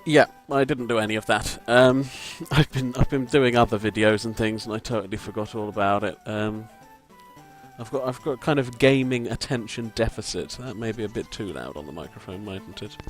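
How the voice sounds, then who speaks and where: A man with a croaky voice, giving rambling, self-deprecating gameplay commentary from a home mic and swearing casually. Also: lowish voice, normal speed, faint music behind. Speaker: let's play commentator. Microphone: home desk microphone.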